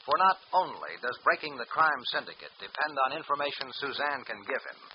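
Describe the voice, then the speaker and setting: A man's voice: medium pitch, animated, a little fast, noisy background. Muffled old-radio serial narrator, man. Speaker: announcer and narrator.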